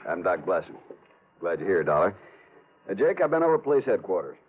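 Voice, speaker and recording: Young American doctor, deep voice, grave, precise, tinny vintage radio drama. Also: male voice, sing-song, quick pace. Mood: earnest. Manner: earnest, precise. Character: grave, earnest young small-town male doctor. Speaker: actor in a radio detective drama. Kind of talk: old-time radio drama acting.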